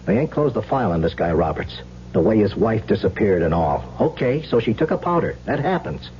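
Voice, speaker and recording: Casting: protective, colloquial cop, hard-nosed and expressive, vintage radio play with faint noise. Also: male voice, lowish voice, brisk. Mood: insistent, suspicious. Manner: tough, colloquial, insistent. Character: blunt, protective New York police detective sergeant. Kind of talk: old-time radio drama acting. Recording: muffled old radio recording, faint noise.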